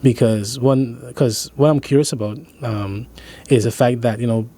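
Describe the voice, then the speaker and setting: A man's voice: medium pitch, moderate pace, mellow. A man hosting an interview, asking questions hesitantly with fillers and restarts. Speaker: interview host.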